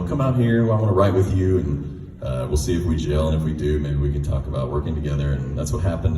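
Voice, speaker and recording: An American man with a very low, deep voice tells a casual story from the stage. He is recorded at a distance in a reverberant hall, with noise around him. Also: mellow. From United States, Texas. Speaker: singer-songwriter telling stories to an audience. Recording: echoey hall, distant mic, background noise.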